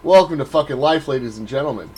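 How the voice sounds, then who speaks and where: A man's voice: medium pitch, fast talker, a little mellow, husky. A man co-hosting a talk stream in a blunt, casual way, swearing freely. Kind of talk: casual, profane chat.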